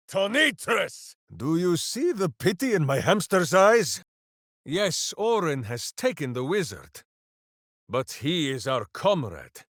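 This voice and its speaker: A man's voice: a little high, no room sound, studio quality, exaggerated voice. A man voicing a hulking, earnest ranger warrior with a pet hamster, who refers to himself in the third person and makes grand heroic proclamations. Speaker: video game character. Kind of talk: game voice lines.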